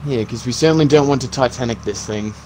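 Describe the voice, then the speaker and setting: A man playing a calm, practical zombie apocalypse survivor in an improvised game roleplay, talking in an encouraging, instructive way. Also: medium pitch, fast talker, slight background noise, close to an everyday voice. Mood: guiding, encouraging.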